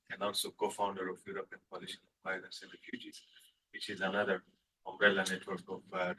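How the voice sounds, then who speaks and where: A man from Afghanistan, a refugee advocate introducing himself at a workshop, earnest and halting. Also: deep voice, bright, forceful tone, slight background noise, rough recording. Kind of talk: conference self-introduction.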